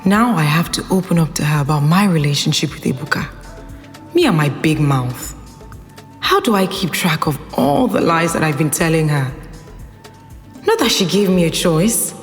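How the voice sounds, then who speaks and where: A woman's voice: low voice, animated, normal speed, big-room sound, soft background music. An actress with a Nigerian accent playing a guarded, sarcastic young woman in an audio drama, with a wry, incredulous manner. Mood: worried, exasperated. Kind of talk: audio drama dialogue and inner monologue.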